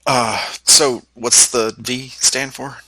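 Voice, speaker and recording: A man's voice: mid-range voice, steady tone, slow, sharp tone, dry recording, rough recording. A man chatting casually as a player in a role-playing game on an online call. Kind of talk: casual improvised chat and play. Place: online group video call.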